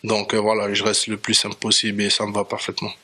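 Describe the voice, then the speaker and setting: A man's voice: on the low side, completely flat delivery, fast, sharp tone, slightly rough. A man from French Guiana, a reggae dancehall artist, talking casually as a guest in a radio studio interview.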